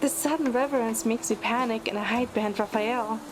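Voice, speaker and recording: High-voiced woman narrating over music. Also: brisk, poor audio. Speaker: narrator and lead in a fan audio drama. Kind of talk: scripted first-person dramatic narration. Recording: loud music under the voice.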